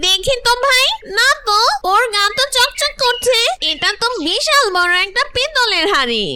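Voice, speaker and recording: A performer voicing a small, feisty but soft-hearted female bird in a children's cartoon fable, speaking expressively. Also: very high-pitched, very animated, moderate pace, very noisy. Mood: wary, curious.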